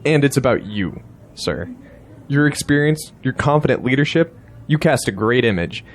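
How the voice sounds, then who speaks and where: An actor playing a confident, persuasive campaign strategist, courteous yet blunt, in an audio drama. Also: male voice, mid-range voice, animated, moderate pace, close to an everyday voice.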